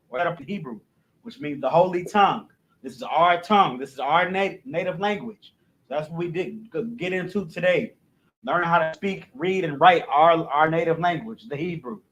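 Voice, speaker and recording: A man leading a recorded language class in a casual, repetitive way, often adding "you know what I'm saying" in a dropped voice. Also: lo-fi sound. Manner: recites letters loudly and rising in pitch. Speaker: class instructor.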